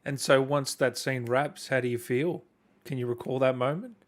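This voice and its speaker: Man with mid-pitched voice, casually interviewing. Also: normal speed. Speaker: interviewer, gaming channel host. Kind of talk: casual conversational interview questions.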